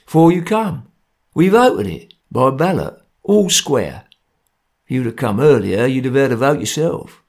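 Man doing a gruff ringleader. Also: medium pitch, sing-song, measured pace, a little mellow. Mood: stern. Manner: gruff, severe, matter-of-fact. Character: grim stern male ringleader, working-class.

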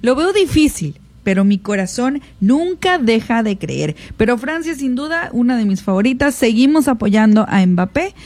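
A woman's voice: on the high side, very animated, moderate pace, few pauses, darkish tone, no echo. A woman from Mexico giving enthusiastic, opinionated views on a sports talk show. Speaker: panelist.

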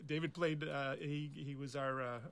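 A man's voice: fairly high pitch, steady tone, moderate pace, gravelly, a loud crowd. A man talking informally about community events, as one of their organisers, in a casual conversation.

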